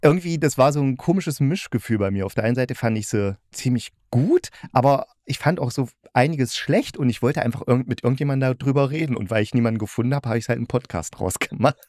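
A man's voice: mid-range voice, fast, hardly any pauses, a little mellow, no room sound, studio quality. A man hosting a podcast, chatting casually and thinking aloud in loose, colloquial sentences. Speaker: podcast host reviewing radio plays. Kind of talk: casual interview chat.

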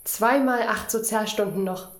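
An actress playing a guarded young night-shift worker in a radio crime play, dry, matter-of-fact and evasive. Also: female voice, medium pitch, a little slow, roomy sound, close to an everyday voice. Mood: subdued.

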